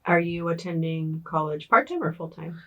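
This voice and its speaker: An easygoing interviewer leads an oral history conversation. The voice sits at a middle pitch, and the questions come across friendly and chatty. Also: lively intonation, normal speed, sharp tone, distant mic, dull audio. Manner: friendly, chatty, laughs easily.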